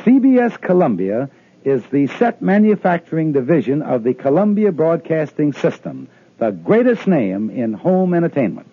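A man announcing a 1950s radio advertisement as a polished sales pitch. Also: big pitch swings, normal speed, slight background noise. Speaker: commercial announcer. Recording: dull lo-fi old radio recording.

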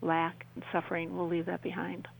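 Older woman with a gravelly, creaky voice. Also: on the low side, completely flat delivery, dry recording, rough recording. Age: older, about 60s. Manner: halting, rambling, often rephrasing mid-sentence. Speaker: interview guest, UFO experiencer. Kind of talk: conversational radio interview storytelling.

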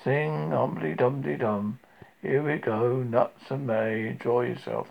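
A man voicing a gloomy, self-pitying old grey male donkey with a low, mournful delivery. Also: fairly even intonation, creaky, lo-fi sound. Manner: mournful, sighing and slow.

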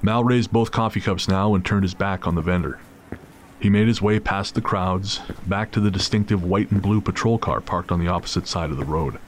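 A man's voice: sits low, a little fast, slight background noise. A man narrating a scripted audio drama as storyteller.

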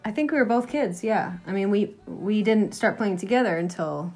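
Woman musician from Massachusetts, mid-pitched, relaxed and chatty, light music underneath. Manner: easygoing, agreeable, quick asides. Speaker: musician guest. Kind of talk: casual interview chat.

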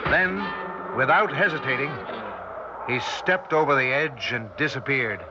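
A man's voice: mid-range voice, a little slow, lightly acted. A man playing a haunted witness who recounts a terrifying encounter with tense drama in 1960s radio style. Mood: grave, haunted. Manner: tense, dramatic first-person storytelling. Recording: muffled old radio recording with music.

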